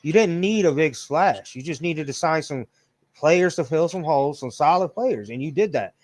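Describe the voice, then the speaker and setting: A man in his late thirties co-hosts a relaxed sports talk, slangy and liberal with swearing. His voice sits a little high and sounds dry and close to the mic. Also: normal speed, no echo. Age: 30s. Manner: profane, laid-back banter with slang. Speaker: podcast co-host. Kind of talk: casual sports chat.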